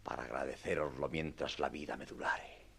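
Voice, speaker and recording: A man playing a pompous, courtly old knight errant in radio theatre, gravelly and husky, speaking solemn archaic phrases. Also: very deep voice, steady tone, normal speed, pushed and bright, heavily acted. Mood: solemn gratitude. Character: grandiloquent, courtly old self-styled knight errant, lovestruck.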